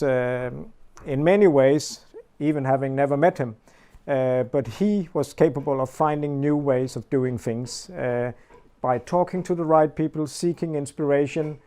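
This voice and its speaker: A man's voice: medium pitch, mellow. A man, a silver manager giving a tour of a workshop, hesitant and full of fillers. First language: Danish. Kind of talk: guided tour talk.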